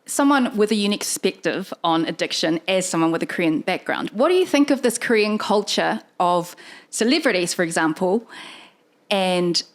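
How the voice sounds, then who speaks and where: Chatty woman podcast host. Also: medium pitch. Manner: lively and chatty, with quick asides. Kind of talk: casual interview chat.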